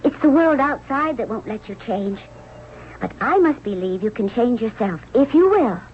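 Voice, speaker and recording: Sensible, high-voiced young confidante played by an actress. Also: female voice, expressive, normal speed, dull audio, close to an everyday voice. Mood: reassuring. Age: young adult. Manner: earnest, reassuring, theatrical. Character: gentle, sensible young Egyptian woman, a sisterly confidante. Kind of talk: 1970s radio drama acting. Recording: thin, noisy old radio recording.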